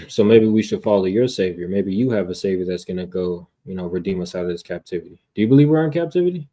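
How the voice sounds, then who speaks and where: An American man debating casually in street slang, confrontational, often repeating words and clearing his throat. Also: low voice, lively intonation, quick pace, dark tone. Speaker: debater on a religious livestream.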